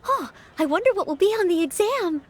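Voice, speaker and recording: A woman's voice: very high-pitched, animated, brisk, noisy background. A woman voicing an earnest, polite young maid-knight in an anime-style video game. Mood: cheerful, interested.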